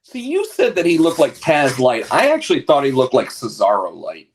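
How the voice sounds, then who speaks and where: A man's voice: medium pitch, huge pitch swings, normal speed, slight background noise. A man co-hosting a casual talk show, stuffed up with a cold, talking in rambling bursts with lots of stammered restarts. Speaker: podcast co-host.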